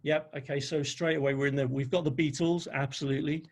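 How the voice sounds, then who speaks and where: A man's voice: on the high side, fairly even intonation, quick pace, gravelly. A man with a Liverpool accent giving a chatty live webinar as an NLP trainer, reading out audience answers as he goes. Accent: Liverpool (Scouse). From Liverpool, England.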